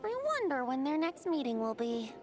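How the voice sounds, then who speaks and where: A woman's voice: sits high, very animated, moderate pace. A woman playing a playful, androgynous wandering bard in an anime-style video game, with a teasing, theatrical lilt. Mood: gently earnest. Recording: game music playing behind.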